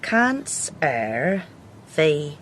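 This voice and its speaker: A woman playing a kind, devout peasant mother in a cottage, speaking gently and homely. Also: low voice, sing-song, drawn-out words, slight background noise, heavily acted. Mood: curious, chatty. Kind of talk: radio drama acting.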